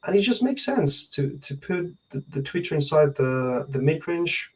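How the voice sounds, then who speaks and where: A man in his thirties giving technical explanations as an interview guest, hesitant and pausing mid-sentence to think. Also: a little high, sing-song, slightly rough. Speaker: loudspeaker research engineer, interview guest. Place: indoor room at home, on a video call. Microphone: video call.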